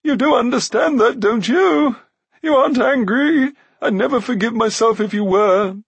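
Whining little giant, very high-pitched. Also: male voice, moderate pace, creaky, husky, no echo, full character voice. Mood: hurt, plaintive. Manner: pleading, plaintive, babbling self-justification. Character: whining self-pitying little giant, cowardly and wheedling.

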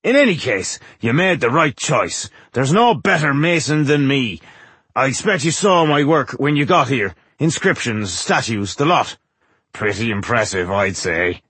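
A man voicing a brash, boozy dwarf mason, a cheeky trickster with a rough, boastful way of talking, in a fantasy audiobook. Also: mid-range voice, sing-song, unhurried, fairly bright tone, no echo, heavily acted. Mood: cocky. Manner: boastful, breezy, impudent.

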